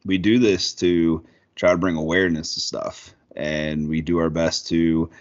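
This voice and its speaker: A man's voice: low-pitched, level tone, a little slow, dull audio. A man in his thirties co-hosting a podcast, talking casually with lots of fillers and swearing. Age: 30s. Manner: rambling, profane, lots of fillers. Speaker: podcast co-host.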